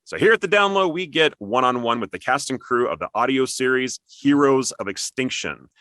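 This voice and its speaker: A man's voice: medium pitch, animated, fairly quick, fairly bright tone, no room sound. A man hosting an interview show, speaking in an upbeat, conversational style. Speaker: host, interviewer.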